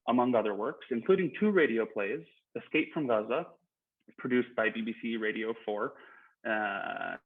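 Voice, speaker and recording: Guy hosting online panel, polite formal intro, measured, tinny phone-like audio. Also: medium pitch, slightly rough. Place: online video call.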